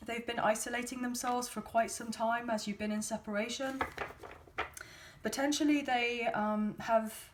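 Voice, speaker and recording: A woman with a slightly raised voice that has gone a little hoarse and croaky talks her viewers through a tarot reading. She sounds chatty and gently encouraging, often pausing on an um. The recording carries a clear background hiss with clicks. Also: fairly high pitch, steady tone. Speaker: tarot card reader.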